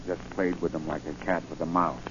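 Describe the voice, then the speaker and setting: An actor playing a boastful, self-satisfied police commissioner, pompous and smug, in 1940s radio style. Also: male voice, low voice, flat delivery, brisk, lightly acted. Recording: noisy, muffled 1940s radio recording.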